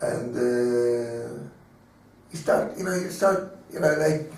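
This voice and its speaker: A rabbi teaching a Torah class, telling stories and acting out the voices of the people in them. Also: male voice, medium pitch, slow pace, gravelly, darkish tone. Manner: storytelling, acting out voices in dialogue. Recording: very echoey room, mic far away.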